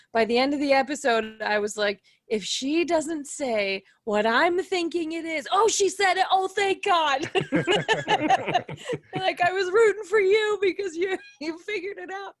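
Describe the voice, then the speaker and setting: A woman's voice: high-pitched, dry recording, studio quality. A woman chatting casually as a podcast co-host, with a playful, giggly way of talking. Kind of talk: casual chat.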